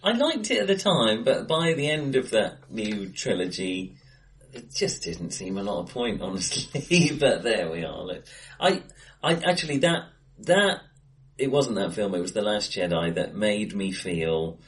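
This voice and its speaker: A middle-aged man chatting casually and wryly about films as a podcast co-host, recorded in a bright, echoey room. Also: lowish voice, big pitch swings, unhurried. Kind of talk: casual film chat. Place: face to face in an acoustically bright room.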